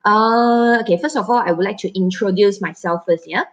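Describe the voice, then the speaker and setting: A woman in her thirties with a Malaysian English accent, moderating a casual live stream in a chatty, halting way with many fillers and restarts. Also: mid-range voice, dull audio, slight background noise.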